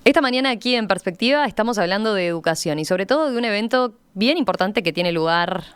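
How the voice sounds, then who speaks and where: A woman's voice: medium pitch, animated, fast, clean tone. A woman with a Uruguayan accent hosting a conversational radio interview from a studio. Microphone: studio microphone.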